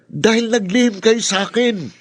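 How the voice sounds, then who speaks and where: A man's voice: high-pitched, moderate pace, no echo. A man playing a bitter, wounded young adult son with an accusatory, melodramatic delivery in a radio drama. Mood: bitter, accusing.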